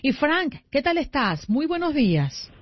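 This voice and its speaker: A woman's voice: sits high, sing-song, a little slow, muffled recording. A woman hosting a call-in radio show, speaking reflectively and asking callers short, probing questions. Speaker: radio host.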